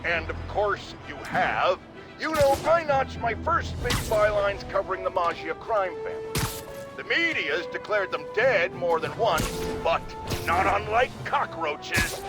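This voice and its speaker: An actor playing a pompous, self-important older radio pundit with an American accent, ranting emphatically in a video game. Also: male voice, high voice, full character voice. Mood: boastful. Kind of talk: radio talk show rant.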